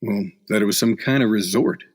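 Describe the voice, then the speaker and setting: A man's voice: on the low side, a little fast, natural voice. A man playing a hard-boiled beat reporter in a noir radio play, sardonic and slangy with a tough-guy manner. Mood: tough, skeptical. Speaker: actor in an audio drama.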